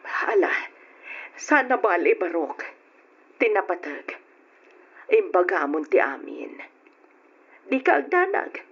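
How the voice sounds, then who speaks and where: A woman's voice: on the low side, sing-song, takes it slowly, pauses often, slightly rough, full character voice. An actress playing an ashamed, sympathetic middle-aged mother-in-law in an Ilocano radio drama, with an Ilocano accent. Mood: consoling. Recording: muffled, dull old radio drama recording.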